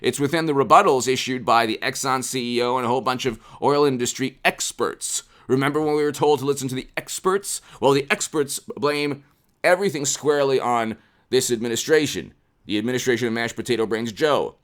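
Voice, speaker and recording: Casting: American male commentator, 40s, mid-pitched, unscripted and emphatic, repeats phrases for stress, close desk mic. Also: brisk, a bit bright. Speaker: political commentary channel host. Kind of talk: unscripted political commentary to camera. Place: small indoor room with poster-covered walls. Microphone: desk condenser microphone, close.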